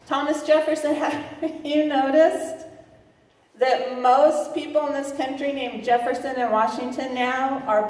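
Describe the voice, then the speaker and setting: A woman with a fairly high voice gives a slow, unscripted keynote speech, pausing on fillers and restarting her sentences. She speaks bluntly and laughs between her lines. The sound is far off the mic and rings with long reverb in a large convention hall. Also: a little mellow.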